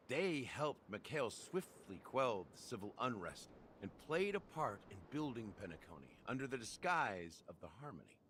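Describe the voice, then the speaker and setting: An actor playing a composed, knowing male veteran revolutionary, telling a dramatic game story in measured, deliberate phrases. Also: fairly low pitch, fairly bright tone, slight background noise. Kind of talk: dramatic scripted game dialogue. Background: game music.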